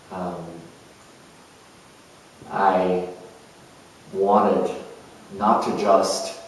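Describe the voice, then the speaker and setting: Slow, echoey man acting an earnest nurturing counselor. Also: lowish voice, a little mellow, a little hiss or hum. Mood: subdued, solemn. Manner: halting, reflective, deliberate phrasing with pauses. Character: earnest, nurturing parent turned spiritual counselor and meditator. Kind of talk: improvised dramatic monologue. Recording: very echoey room, distant microphone.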